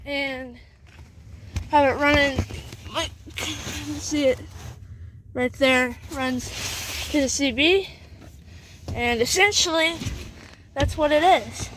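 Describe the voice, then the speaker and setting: A woman giving a casual, halting how-to walkthrough in and around a pickup truck, leaning on fillers and repeating herself as she explains. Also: high voice, fairly bright tone, a little hiss or hum, poor audio. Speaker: video host showing a vehicle setup.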